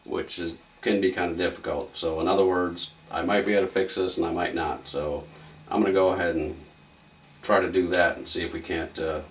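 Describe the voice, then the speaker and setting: A man's voice: low-pitched, steady tone, brisk, a little hiss or hum. A man hosting a casual repair video, talking through the job step by step in a plain-spoken way. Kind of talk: casual hands-on repair walkthrough.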